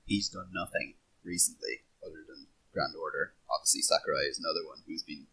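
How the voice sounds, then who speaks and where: Male podcast panelist, low and rough-edged but bright voice, rambling casual fan talk. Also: fairly quick, low-quality recording.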